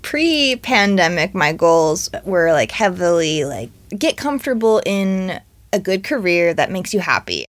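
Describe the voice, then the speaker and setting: Slow-talking woman in her twenties. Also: fairly low pitch, dry recording. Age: 20s. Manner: relaxed, unhurried, casual. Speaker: interview guest. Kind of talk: casual conversation.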